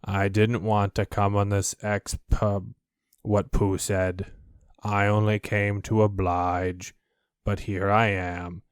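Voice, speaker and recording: A man's voice: lowish voice, level tone, clear, resonant tone, dry recording, studio quality. A man voicing a gloomy, put-upon donkey in a storybook, grumbling in a morose, resigned manner. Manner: slow, morose, resigned delivery. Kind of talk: storybook character voice.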